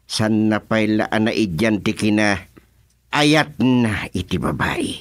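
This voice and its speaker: An actor playing an excitable older village father in a Filipino radio comedy drama, with an Ilocano accent and drawn-out exclamations. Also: fairly low pitch, dry recording. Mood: teasing.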